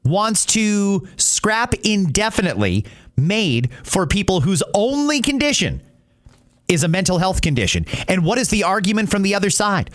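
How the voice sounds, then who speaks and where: A man's voice: a little high, fairly bright tone. A man with a Canadian accent hosting an opinion podcast, speaking emphatically and with strong views. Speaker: podcast host. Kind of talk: opinion podcast commentary, reading listener messages.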